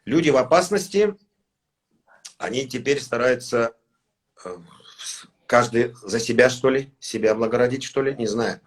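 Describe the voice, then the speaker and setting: A man talks in a mid-range voice, neither high nor low. He speaks haltingly and gently, often stopping to find the right Russian word. It sounds like a relaxed, casual interview. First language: Tatar. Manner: halting, searching for words, soft-spoken. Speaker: singer, interview guest.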